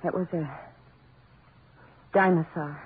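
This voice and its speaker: An actress playing a frightened, devoted wife in a 1940s radio horror drama, speaking in a pleading, hesitant, breathless way. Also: female voice, on the low side, steady tone, slow. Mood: shaken, insistent. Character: terrified, pleading young wife, devoted and shaken. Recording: very noisy, tinny 1940s radio recording.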